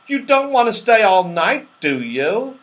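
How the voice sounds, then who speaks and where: A man voicing a bossy, impatient little storybook fellow who gives brisk orders. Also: high voice, sing-song, a bit bright, voice pushed up, exaggerated voice.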